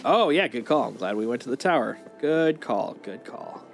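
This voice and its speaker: A man's voice: mid-range voice, animated, moderate pace. A man livestreaming a video game, chatting casually as he plays. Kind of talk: casual chat while playing. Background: game music.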